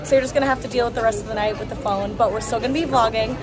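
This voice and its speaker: A woman's voice: a little high, fairly even intonation, racing. A woman in her twenties chatting casually and cheerfully to camera as a travel vlogger, recorded outdoors on a handheld device. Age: 20s. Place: outdoors in a busy tourist village street. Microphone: phone or camera at arm's length. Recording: outdoor phone audio with busy crowd. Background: crowd chatter.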